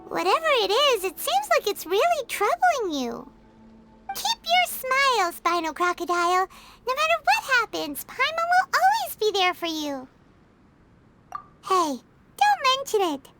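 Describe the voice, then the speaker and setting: A woman voicing a small, chirpy fairy companion girl in a video game, with a squeaky childlike voice. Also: wildly swooping pitch, a little slow, loud music behind the voice, exaggerated voice. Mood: caring, cheerful. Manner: refers to herself in third person. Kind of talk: video game character acting.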